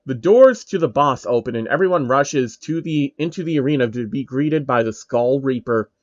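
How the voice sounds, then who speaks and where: A man's voice: mid-range voice, expressive, moderate pace, no echo, highs cut off. A man narrating a comedic story in a casual, irreverent way, with offhand asides.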